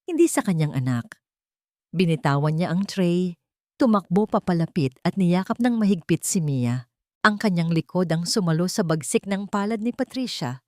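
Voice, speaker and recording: A woman's voice: fairly low pitch, animated, normal speed, dry recording. A woman narrating a scripted romantic melodrama in a dramatic storytelling style.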